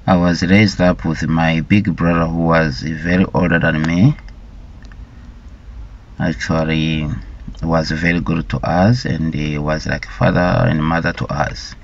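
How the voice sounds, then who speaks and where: A middle-aged man from Rwanda telling his life story in a deliberate, halting way, often repeating words. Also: extremely low pitch, fairly even intonation, creaky, slight background noise. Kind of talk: slow personal storytelling. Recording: dull lo-fi recording.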